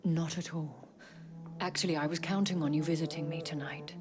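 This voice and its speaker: A woman's voice: sits low, fairly even intonation, moderate pace, over music, lightly acted. An actress playing a refined, secretive aristocratic vampire lady in a video game, formal and composed. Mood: gracious. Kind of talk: dramatic video game acting.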